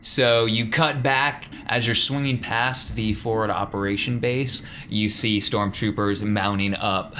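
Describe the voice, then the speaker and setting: Bright-voiced man running a tabletop game. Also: lowish voice, fairly even intonation, measured pace, few pauses. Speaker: game master. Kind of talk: improvised tabletop game narration. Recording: background noise with room ambience.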